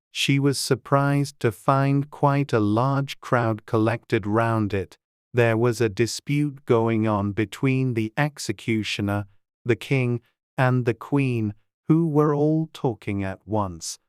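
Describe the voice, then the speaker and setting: A man gives a measured, scripted storybook narration. His voice is smooth and resonant at a medium pitch, with crisp enunciation. The recording is very dry. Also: no room sound. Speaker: audiobook narrator.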